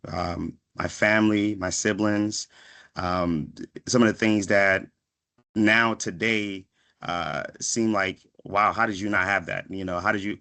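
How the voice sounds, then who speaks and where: A man's voice: on the low side, fairly bright tone. A man in his thirties from the Washington, D.C. area, born in Ghana, giving a reflective, conversational motivational talk to students, often checking in with "right?". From born in Ghana, raised in Washington, D.C. area from age five. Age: 30s. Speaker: guest speaker, psychiatric pharmacist. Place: online video session.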